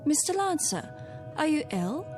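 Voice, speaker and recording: An actress playing a poised, solicitous secretary who speaks politely and probes gently in a radio drama. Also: female voice, sits high, sing-song, unhurried, soft background music.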